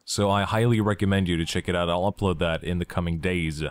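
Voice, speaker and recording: A man's voice: low voice, steady tone, fast. A young man from Belgium, talking enthusiastically and jokingly in a casual gaming vlog. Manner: enthusiastic, joking, asks himself questions. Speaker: gaming YouTuber.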